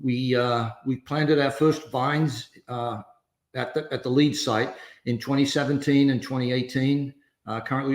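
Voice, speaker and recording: A man who owns a winery, talking as a podcast guest in a halting way, full of fillers and restarts. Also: medium pitch, roomy sound. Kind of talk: casual podcast conversation.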